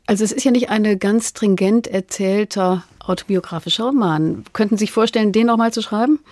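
A woman hosting a literary interview, speaking in a measured, thoughtful way. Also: medium pitch, a little mellow, no room sound. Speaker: interviewer, radio host.

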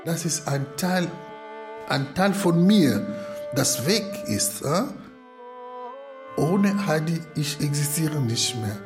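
A non-native German speaker, a man with a foreign accent, retelling and reflecting in a halting, searching way. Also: fairly high pitch, expressive, music in the background. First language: not German. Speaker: asylum seeker retelling a story.

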